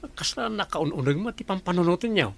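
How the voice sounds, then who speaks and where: A man's voice: high-pitched, expressive, fairly quick, gravelly, exaggerated voice. A man with an Ilocano accent playing a nosy, teasing office colleague in a radio drama. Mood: inquisitive. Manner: teasing, inquisitive.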